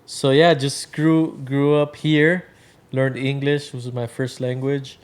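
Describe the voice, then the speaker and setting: A man in his thirties from Venezuela, chatting casually as a podcast guest, with a relaxed, rambling manner full of fillers. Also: mid-range voice, slow pace, clean tone. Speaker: guest, drink brand founder. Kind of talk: casual interview chat.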